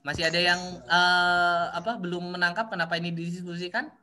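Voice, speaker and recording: A man's voice: high voice, flat delivery, bright, forceful tone. A man teaching an online university class, explaining step by step with many fillers and frequent checks that students follow. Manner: halting, frequent fillers and restarts, often checks understanding. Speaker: university lecturer. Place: online meeting. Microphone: video call.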